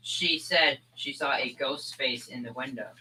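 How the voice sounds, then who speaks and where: A woman's voice: extremely low pitch, measured pace, bright, forceful tone, a little hiss or hum, full character voice, rough recording. A young performer playing an earnest, gullible thirteen-year-old boy in a school old-time radio comedy. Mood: earnest, uneasy. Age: young teen.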